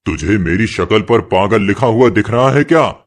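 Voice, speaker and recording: A man's voice: on the low side, expressive, moderate pace. A man voicing a stingy, harsh tea-shop boss in a cartoon moral story, bossy and sarcastic. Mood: angry, sarcastic. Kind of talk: animated moral story acting.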